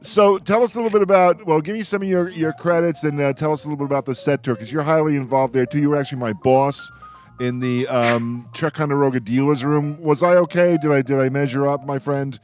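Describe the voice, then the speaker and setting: A man's voice: on the high side, steady tone, brisk. Older guy hosting a radio roundtable, rambles and jokes, bit of music behind. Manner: jokey, rambling. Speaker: radio show host. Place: radio show with remote guests.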